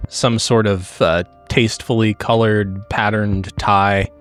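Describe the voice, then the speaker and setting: Man, fairly low voice, tabletop game player, casual improvised description, thinking aloud, faint music. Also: fairly even intonation, takes it slowly, fairly bright tone. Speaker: player in a role-playing game.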